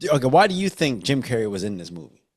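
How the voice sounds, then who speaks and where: Male podcast host, animated and conversational, casually steering a film discussion among friends. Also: medium pitch, quick pace.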